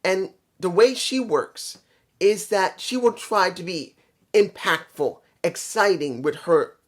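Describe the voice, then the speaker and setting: Slightly hoarse, emphatic wrestling vlogger. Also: mid-range voice, slow. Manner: conversational, emphatic, repeats points for stress. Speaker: vlogger reviewing a wrestling show. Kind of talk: casual opinionated wrestling review.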